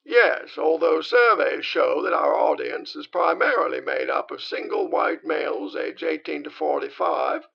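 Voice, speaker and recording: A sly, gravelly radio star. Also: male voice, a little high, animated, unhurried, few pauses, muffled recording, exaggerated voice. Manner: dry, suggestive comebacks. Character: sly male radio drama star, quick-witted. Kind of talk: 1930s radio acting.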